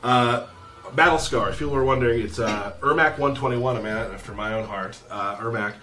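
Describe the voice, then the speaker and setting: A man hosting a casual live question-and-answer chat in an easygoing, joking, deadpan way. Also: medium pitch, fairly quick, far from the mic, faint music behind, low-quality recording. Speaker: livestream host from a game studio. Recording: faint background noise.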